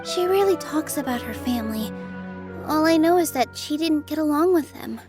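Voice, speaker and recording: A woman voicing a gentle, shy young dancer who sounds soft-spoken and unsure of herself, in a video game with music behind her. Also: high voice, expressive, normal speed. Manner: soft-spoken and self-doubting.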